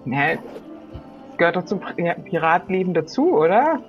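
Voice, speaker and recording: A woman's voice: lowish voice, big pitch swings, normal speed, dull audio, soft background music. A woman playing a friendly, generous pirate on a search, casual and upbeat in improvised role-play. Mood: cheerful.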